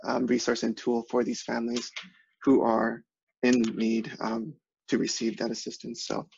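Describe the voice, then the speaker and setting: A man speaking up in a work meeting on a conference call, with halting, formal phrasing. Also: mid-range voice, completely flat delivery, gravelly, a little mellow. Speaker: human services administrator. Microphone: remote conference call. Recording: dull, slightly noisy conference call.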